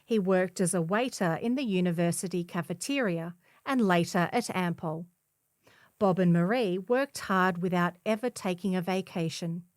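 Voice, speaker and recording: Woman reading a feature, low-pitched, dry sound. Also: normal speed, no room sound. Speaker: volunteer reader of a community newspaper. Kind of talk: scripted narration of a feature article.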